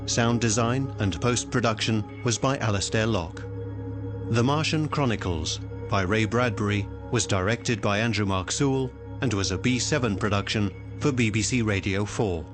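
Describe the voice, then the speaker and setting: A man with a fairly low voice makes a formal radio announcement. He reads the credits in a measured way while music plays underneath. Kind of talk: scripted announcement.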